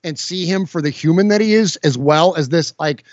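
A man's voice: on the high side, a little fast, no echo, lo-fi sound. A man in his forties, a guest on a podcast, speaking earnestly and from the heart with many stammered restarts. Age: 40s. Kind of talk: casual podcast chat.

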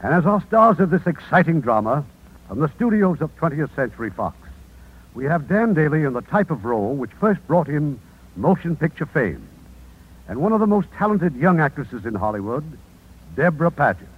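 A man's voice: a little high, sing-song, moderate pace, husky. A man hosting a radio theatre program, giving a formal, theatrical scripted introduction to a play. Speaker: producer and host introducing the play. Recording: tinny vintage radio broadcast recording.

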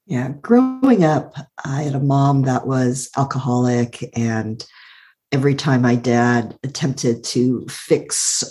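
Low, soothing woman's voice. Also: takes it slowly. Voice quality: soothing, calm voice. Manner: warm, thoughtful, laughs often, uses "like" a lot. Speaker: guest. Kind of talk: reflective personal storytelling.

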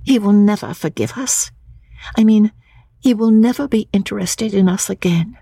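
A woman voicing a fond, scolding young wife in a dramatic audiobook reading, brisk and exclamatory. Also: mid-range voice, vocal fry, warm tone, husky, dry recording, voice pushed up. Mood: wistful. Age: young adult.

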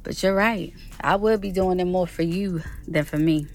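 A woman acts out a principled, devoted girlfriend in an audio drama. She speaks frankly and earnestly in a slightly low voice, with faint music behind her. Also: normal speed, lightly acted. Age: 30s. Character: devoted thirty-five-year-old girlfriend, principled and determined. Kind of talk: scripted audio drama acting. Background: background music.

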